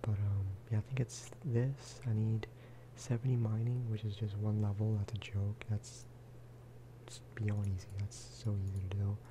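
A soft-spoken man hosts a gaming ASMR video in a low, flat voice. He rambles casually and often drops into a whisper. Clear background noise and clicking sit behind him. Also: moderate pace.

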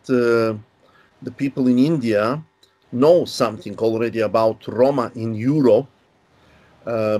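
A man in his sixties from Bulgaria, a linguistics professor explaining history in measured, unhurried interview answers over a video call from a home study. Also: fairly low pitch, darkish tone. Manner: measured, unhurried, with pauses and fillers.

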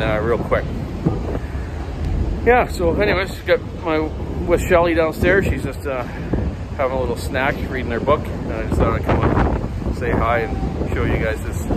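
A Canadian man in his fifties talking casually to camera in a rambling, folksy way, recorded on a phone at arm's length on a ferry deck. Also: mid-range voice. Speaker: vlogger, chainsaw shop owner. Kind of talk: casual vlog chat to camera. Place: open deck and windowed shelter of a ferry at sea. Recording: loud wind and boat noise.

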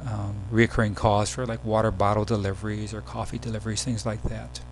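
Low-key man narrating, faint hum behind. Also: flat delivery, a little fast. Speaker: software demo presenter. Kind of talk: casual screencast tutorial narration. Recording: background hum and noise.

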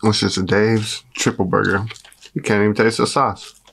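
A man in his forties talks through a meal in a dark room, his low voice raspy and gravelly from a cold. He munches and chats casually between bites. The recording is noisy, with clicks under his voice. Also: steady tone, moderate pace. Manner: chatty, eats and talks with mouth full. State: hoarse from a cold. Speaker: food vlogger. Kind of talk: casual mukbang chat.

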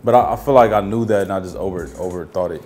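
A man's voice: low voice, fast talker, a little mellow. An American man in his thirties, a guest, chats casually in a slangy, hesitant, sometimes profane way. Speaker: guest, music artist. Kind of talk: casual chat. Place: home-style kitchen, indoors. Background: music.

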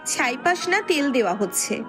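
A woman voicing a sharp-tongued young wife and mother in an audio story, firm, exasperated and scolding. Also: sits high, big pitch swings, normal speed, faint music behind. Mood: curt. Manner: scolding, no-nonsense.

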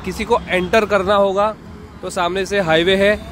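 Chatty man vlogging beside a highway. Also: level tone, normal speed, a bit bright. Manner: explaining, chatty, pointing things out. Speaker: vlogger, father. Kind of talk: casual family vlog. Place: roadside outdoors by a highway. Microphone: phone held at arm's length. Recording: traffic and outdoor noise.

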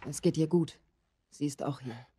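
A woman playing a weary, firm middle-aged resistance leader in a video game, speaking in a measured, serious way with a husky voice. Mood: calm, weary. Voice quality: husky, low, dark voice. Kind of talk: cinematic video game dubbing.

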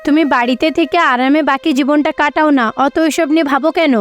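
A woman's voice: high voice, fairly even intonation, brisk, faint music behind, lightly acted. A woman voicing a scolding, practical middle-aged wife in a cartoon story, nagging in an exasperated manner.

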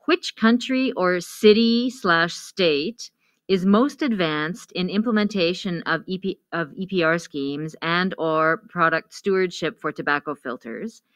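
A woman's voice: on the low side, fairly bright tone, no echo. A woman moderating a panel, polite and formal as she opens the questions.

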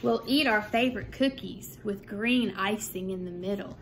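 A woman, a grade-school teacher speaking in measured, simple phrases as in a read-aloud for young children. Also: mid-range voice, fairly bright tone, rough recording. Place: small room at home, indoors. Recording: clear background room noise.

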